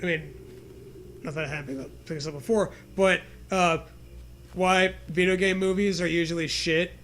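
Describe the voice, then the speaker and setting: A man's voice: high voice, steady tone, moderate pace, a bit bright. A man co-hosting with casual, sarcastic commentary, crude jokes and mock-dramatic reactions. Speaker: co-host reacting to a read story.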